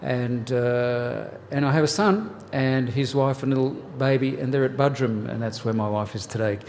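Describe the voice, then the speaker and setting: A man in his sixties with an Australian accent, from New South Wales. He gives a church workshop talk in a relaxed, joking way, with many "uh" fillers. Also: mid-range voice, normal speed, in a large hall, a little hiss or hum. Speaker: family ministries pastor. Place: church hall.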